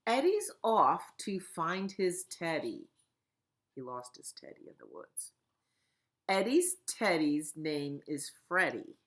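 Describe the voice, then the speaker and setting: A middle-aged woman at home, a children's librarian talking gently and in a sing-song way during a storytime. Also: deep voice, very animated, takes it slowly. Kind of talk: storytime reading and chat for children.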